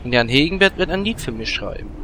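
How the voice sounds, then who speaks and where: An actor playing a vain, scheming gnome warlock in a comedic fantasy audio drama, animated and self-absorbed. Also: male voice, fairly high pitch, fast, slight background noise.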